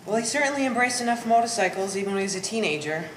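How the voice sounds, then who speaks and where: Woman acting a sharp-tongued teaser, echoey room. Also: medium pitch, animated, rapid-fire, natural voice. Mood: sardonic. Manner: dry, teasing, sardonic. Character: sharp-tongued, teasing woman of immigrant family. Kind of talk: live one-act stage play. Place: art gallery performance space. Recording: echoey room with clear background noise.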